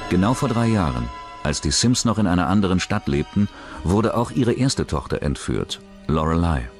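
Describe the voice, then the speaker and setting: A man with a very low voice delivers scripted true-crime narration. Prominent music plays behind him as he reads in the manner of a documentary narrator. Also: big pitch swings, normal speed. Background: background music.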